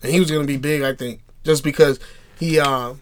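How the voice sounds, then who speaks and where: A man co-hosting a casual podcast, hoarse because he is still losing his voice, talking laid-back slang. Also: a little high, fairly even intonation, a little fast, slight background noise. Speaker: podcast co-host. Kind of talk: casual chat.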